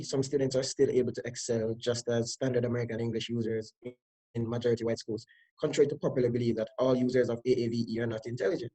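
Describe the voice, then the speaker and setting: A man speaking as a teacher on a scripted panel discussion, measured and read from a script. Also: mid-range voice, mellow, low-quality recording.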